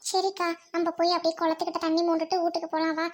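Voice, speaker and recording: A loyal young village girl played by a woman in a village drama. Her voice is extremely high, and she talks as a kind-hearted peacemaker, reasoning and pleading in everyday speech. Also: flat delivery, racing. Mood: soothing, reasoning. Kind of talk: village comedy-drama acting.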